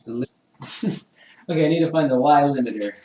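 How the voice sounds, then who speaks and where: A man's voice: on the high side, brisk, mellow, echoey room, slight background noise, poor audio. A man chatting casually with live-stream viewers while assembling a kit, laughing often and poking fun at himself. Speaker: livestreamer building a 3D printer kit. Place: home room with a garage downstairs.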